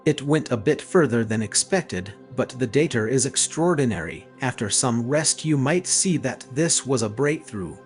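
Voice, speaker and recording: A man playing a calm, persuasive, self-justifying head of an experimental gallery, speaking in a measured, clinical way in a narrated story. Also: mid-range voice, lightly acted. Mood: clinical, unmoved. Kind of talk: dramatized story dialogue. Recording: music behind the voice.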